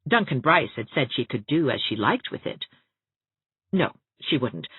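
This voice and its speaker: A woman's voice: sits low, husky, dry recording, phone-quality sound. A woman narrating an audiobook story expressively from a script.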